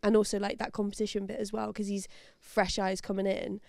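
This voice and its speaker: A woman in her thirties co-hosting a casual chat show, enthusiastic and quick to react, on a handheld mic in a studio. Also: medium pitch, little pitch movement, creaky, darkish tone, no room sound. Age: 30s. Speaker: podcast co-host. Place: red studio set, indoors.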